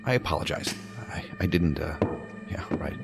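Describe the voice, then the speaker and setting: A man's voice: deep voice, expressive, moderate pace, creaky, music in the background. A man playing an uneasy, deferential agent in a science fiction audio drama, hesitant and stammering in a subdued way. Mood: nervous. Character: uneasy, deferential male agent meeting a secret contact.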